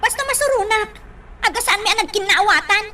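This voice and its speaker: Very high, petulant young Filipina. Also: female voice, animated, a little fast, very noisy. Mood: annoyed. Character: petulant, spoiled young daughter whining to her mother. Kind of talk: radio drama acting.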